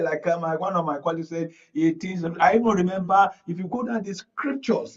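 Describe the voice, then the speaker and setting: A man's voice: high-pitched, husky. A Nigerian man giving radio panel commentary as a media analyst, earnest and emphatic, repeating phrases for weight. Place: radio studio. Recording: muffled audio, distant from mic.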